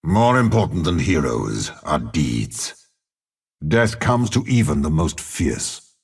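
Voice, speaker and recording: Very deep, gravelly demonic warlord. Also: male voice, a little mellow, exaggerated voice. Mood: cold menace. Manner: slow, measured, menacing, haughty. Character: ruthless, imperious older male warlord bound to a demon. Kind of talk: dramatic video game character voice lines.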